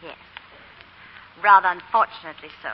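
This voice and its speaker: An actress in a 1940s radio drama playing a worldly, resigned Southern lady with a measured, knowing manner. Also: on the low side, natural voice. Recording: muffled, noisy 1940s radio recording.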